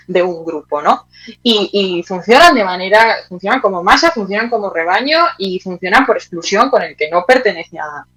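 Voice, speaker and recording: A woman's voice: mid-range voice, fairly bright tone, rough recording. A woman with an accent from Spain answers interview questions in a reflective, conversational way, searching for her words and adding little tag questions. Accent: Spanish from Spain. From Teruel, Aragon, Spain. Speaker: interview guest, playwright.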